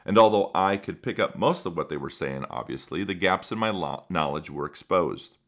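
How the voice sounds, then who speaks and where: A middle-aged man whose first language is Spanish, hosting a podcast as a former school principal and speaking in an earnest, measured way. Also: sits low, lively intonation, fairly bright tone. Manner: earnest, measured, builds lists for emphasis. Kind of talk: reflective podcast monologue.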